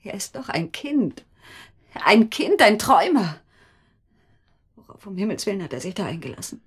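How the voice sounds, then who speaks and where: Breathy, stubborn worried wife. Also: female voice, medium pitch, sing-song, moderate pace. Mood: distressed. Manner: insistent, intense, dropping into hushed tones. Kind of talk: German radio drama acting.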